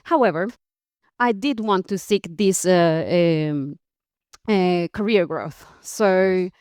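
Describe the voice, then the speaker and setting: A woman from Costa Rica talks through her career as a podcast guest. Her voice is smooth and resonant, and she laughs lightly and often, with plenty of fillers and restarts. The sound is dry, with no room around it. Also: on the low side, slow pace, a little mellow, dry recording. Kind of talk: interview conversation.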